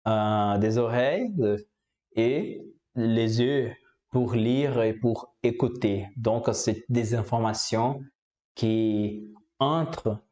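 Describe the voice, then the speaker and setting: A man's voice: mid-range voice, darkish tone. A man from Brazil speaking French with a Brazilian Portuguese accent, explaining how he learned the language in a hesitant way with many fillers and repeated words. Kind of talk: casual explanatory talk.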